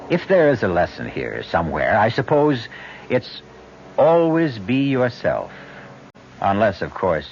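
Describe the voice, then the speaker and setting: Low-voiced man, wry mystery host. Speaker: host and narrator. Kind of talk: wry scripted mystery narration. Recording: muffled old radio recording. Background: music.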